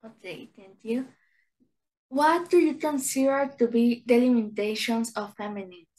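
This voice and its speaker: Woman doing an interview, kinda high voice, asking scripted questions. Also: unhurried, darkish tone, low-quality recording.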